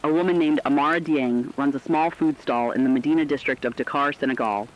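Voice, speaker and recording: A man narrating scripted human-interest stories for a radio drama in a sober, deliberate way. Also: medium pitch, slight background noise. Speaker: radio storyteller. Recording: dull lo-fi radio sound.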